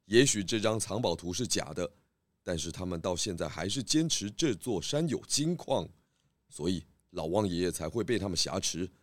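A man's voice: deep voice, lively intonation, moderate pace, no echo. A man voicing a confident pig detective in a children's audio drama, composed, knowing and theatrical. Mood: calm deduction.

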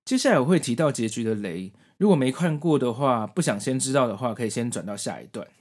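A man's voice: mid-range voice, expressive, quick pace, a little mellow. Guy hosting a podcast, explaining a story from notes, really varied intonation. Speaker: podcast host. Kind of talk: scripted narration of manga story and commentary.

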